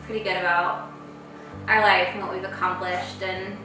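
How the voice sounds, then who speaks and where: A woman's voice: lowish voice, little pitch movement, moderate pace. Late-20s woman vlogger, bubbly chat, far from the mic with music under. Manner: chatty, bubbly, heavy use of "like". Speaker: lifestyle vlogger. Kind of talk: casual chat while cooking. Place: large home kitchen, indoors. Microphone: far camera mic. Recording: echoey kitchen from far off, background music.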